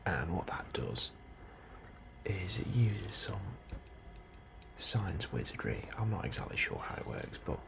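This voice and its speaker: A man doing a soft, breathy ASMR role-play as an unhurried, politely understated officer interviewing a newcomer. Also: low-pitched. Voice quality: soft, breathy, often near whisper. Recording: steady ambient noise behind the voice. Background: computer and ambience sounds.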